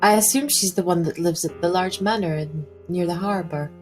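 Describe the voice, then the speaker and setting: A woman playing a watchful, uneasy lady investigator in a tabletop mystery, halting and brooding as she speaks. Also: fairly low pitch, faint music behind, natural voice. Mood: curious. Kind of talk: improvised tabletop roleplay.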